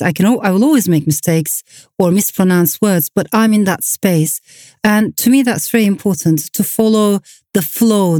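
A woman whose first language is Turkish, a novelist answering interview questions in a thoughtful, measured way with soft fillers. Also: mid-range voice, no room sound, clean studio sound. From Turkey. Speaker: novelist guest.

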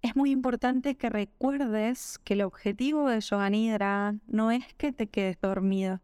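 A woman with a Rioplatense Spanish accent leads a guided meditation at a very slow pace. Her voice is fairly high and very smooth. She gives each instruction gently and calmly. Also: no echo, clean studio sound. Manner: gentle, calming, unhurried instruction. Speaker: yoga nidra meditation guide.

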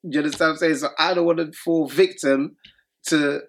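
A man co-hosting a podcast in casual chat, animated and acting out his relatives' voices, English not his first language. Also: fairly high pitch, fairly quick. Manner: animated, acts out relatives' voices mid-story. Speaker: podcast co-host.

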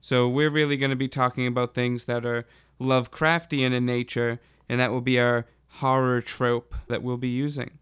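Crisp, close-sounding male podcast host. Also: medium pitch, flat delivery, moderate pace, fairly bright tone, dry recording. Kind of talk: conversational podcast hosting.

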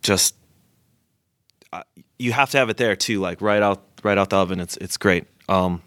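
A man's voice: low voice, normal speed, fairly bright tone, dry recording, studio quality. A man who works as a chef, chatting enthusiastically on a podcast and often repeating words as he starts a sentence. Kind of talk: casual podcast chat.

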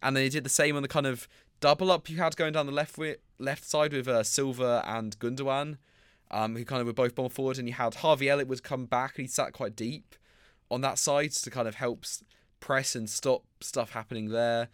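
A man's voice: medium pitch, fairly even intonation, normal speed, clear, resonant tone, no echo, studio quality. A man co-hosting a casual football chat show, talking loosely with lots of "kind of" and "you know".

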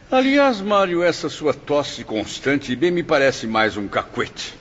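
A man's voice: a little high, sing-song, slow, fairly bright tone, full character voice. An actor with a Brazilian accent playing an eloquent nobleman, a hospital patient, in theatrical radio drama style. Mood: teasing. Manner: theatrical, florid. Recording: dull lo-fi radio recording, faint noise.

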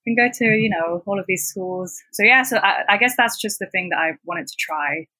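A woman in her twenties from Hong Kong, a native Cantonese speaker, chatting as a podcast guest, full of "like" fillers and quick laughs. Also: mid-range voice, bright, forceful tone, husky, no echo, rough recording. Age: 20s. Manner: chatty, many "like" fillers, laughs between points. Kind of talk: casual conversational interview.

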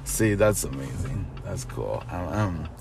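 Young male creator, very low voice, rambling and excitable, light background noise. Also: vocal fry. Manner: halting, many fillers, excitable. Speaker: content creator and host. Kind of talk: casual podcast chat.

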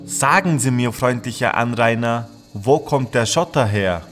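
An actor playing a domineering questioner in an audio drama, speaking emphatically and with formal insistence. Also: male voice, medium pitch, measured pace, fairly bright tone, soft background music, natural voice. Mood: mock-polite. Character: insistent, domineering male questioner, demanding then exultant. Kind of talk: theatrical audio drama dialogue.

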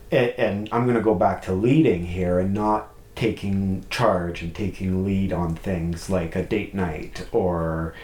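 A man with a fairly low voice talks openly and thoughtfully in a podcast chat. He often restarts his sentences and checks in with a quick "right?". The sound is roomy and echoey. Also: darkish tone. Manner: open, reflective, many restarts and "right?" tags. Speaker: podcast guest. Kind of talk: candid conversational chat.

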